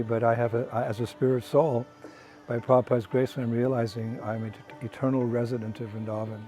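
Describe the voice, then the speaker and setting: An older man, a traveling monk, speaking in a soft, halting way with many pauses and restarts, outdoors on a walking pilgrimage. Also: medium pitch. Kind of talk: casual spoken reflection.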